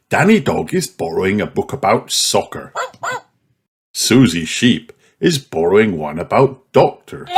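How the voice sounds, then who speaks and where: A man's voice: on the low side, breathy edge. A man narrating a children's storybook from a script as an audiobook reader.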